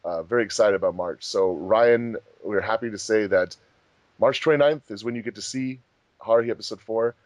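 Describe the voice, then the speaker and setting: A man's voice: fairly low pitch, fairly quick, no echo. A man hosting a fan dub commentary track in casual chat, with dry, teasing humour.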